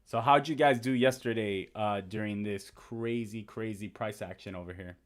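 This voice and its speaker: Live-stream host: young man, Spanish first language, lowish voice, chatty, answers chat, walks through charts. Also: moderate pace. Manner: chatty, reads and answers viewer comments; talks through trading charts.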